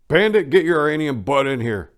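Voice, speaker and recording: Brash young buddy type, acted by a male reader, slangy and teasing, pitched up from a low natural voice. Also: big pitch swings, a little fast. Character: brash, loud young man hanging out with his buddy.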